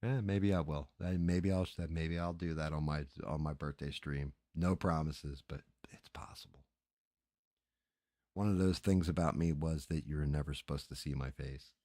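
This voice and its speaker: A man's voice: a bass voice, steady tone, normal speed, warm tone, no room sound, clean studio sound. A middle-aged man chatting casually as a livestream host, crude and joking in a rambling, sweary way.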